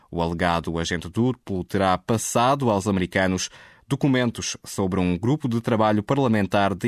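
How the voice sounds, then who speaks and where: A man reading scripted radio news in a steady, measured way. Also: deep voice, no echo, studio quality. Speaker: newsreader.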